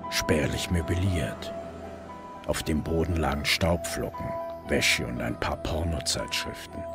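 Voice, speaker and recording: A brooding male detective telling his own case as radio drama narration. His voice is extremely low, and music plays prominently behind it. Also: measured pace. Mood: brooding, measured. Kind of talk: scripted first-person crime drama narration.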